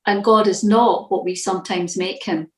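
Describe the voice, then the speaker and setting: A woman reading a scripted church devotional in a measured, reflective manner. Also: medium pitch, steady tone, roomy sound.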